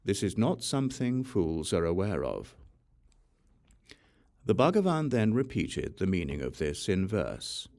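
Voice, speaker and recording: A man with a very low, gravelly voice reads a sacred text as an audiobook narrator. His delivery is measured and solemn, with deliberate pauses, yet his pitch moves expressively through the phrases. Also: sing-song, a little mellow. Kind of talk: scripted reading of a Buddhist sutra.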